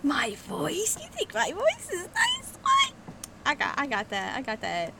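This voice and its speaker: A young woman streamer giving excitable, giggly commentary while playing, on a headset mic. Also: sits high, a little slow, vocal fry, very noisy. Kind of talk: casual gameplay commentary.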